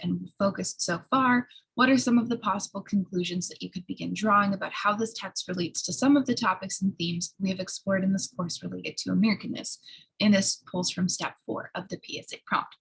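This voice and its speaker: A woman who sounds a little hoarse, giving an explanatory college writing lecture in an instructional way, often checking in with short tag questions. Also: mid-range voice, low-quality recording. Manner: instructional, frequent checking tags like "right?". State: slightly hoarse.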